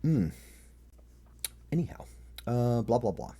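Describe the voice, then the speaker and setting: A man in his late thirties chatting casually and haltingly with livestream viewers, in a self-deprecating way. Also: very animated, dark tone. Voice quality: deep. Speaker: streamer reading own fan fiction.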